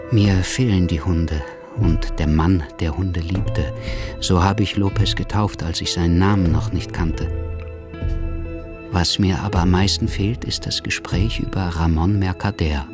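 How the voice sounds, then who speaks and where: An actor playing a melancholy Cuban writer, narrating a radio drama in a reflective storytelling manner. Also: male voice, low-pitched, a little slow, over music. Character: melancholy Cuban writer telling his tale, reflective man.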